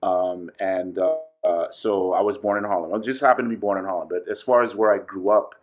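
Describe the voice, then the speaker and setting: A man's voice: deep voice, steady tone, a little fast. A man from Trinidad and New York talking casually as a podcast guest, joking and thinking aloud as he tells his story. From Trinidad, with summers in New York. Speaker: podcast guest, actor and director. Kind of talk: casual conversational interview, storytelling.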